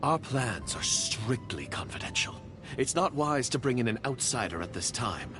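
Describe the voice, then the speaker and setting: An actor playing a wary, condescending secret agent, a villain in a fantasy game, who talks in a measured, disdainful and coolly menacing way. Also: male voice, medium pitch, sing-song, close to an everyday voice. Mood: suspicious. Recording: game music behind voice.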